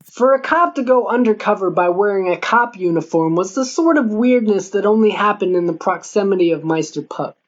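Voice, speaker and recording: A woman's voice: medium pitch, expressive, fairly quick, few pauses. Female audiobook narrator, scripted first-person fiction, mid-range voice, slightly muffled lo-fi recording. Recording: dull lo-fi audio.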